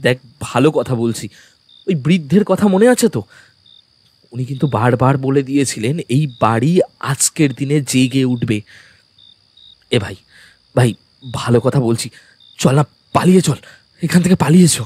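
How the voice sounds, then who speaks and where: A man's voice: medium pitch, lively intonation, measured pace, long pauses, darkish tone, breathy edge, dry recording. An actor playing an anxious, pleading young man in an audio ghost story. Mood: frightened, pleading. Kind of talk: audio drama dialogue in a Bengali ghost story.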